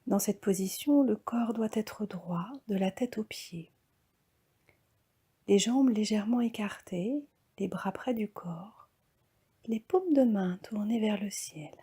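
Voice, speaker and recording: Slow, breathy woman guiding relaxation. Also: medium pitch. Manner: calm, soft, breathy, often near-whispered delivery. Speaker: yoga nidra instructor. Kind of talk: guided relaxation meditation.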